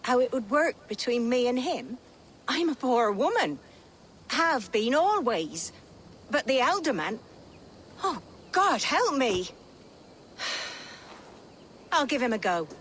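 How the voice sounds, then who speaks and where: A woman's voice: high voice, sing-song, slow, pauses often, a bit bright, slight background noise, heavily acted. A woman playing a defiant, plain-spoken, middle-aged countrywoman in a period game drama, with earthy archaic phrasing. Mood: wistful, moved. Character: defiant, plain-spoken poor countrywoman, protective older sister.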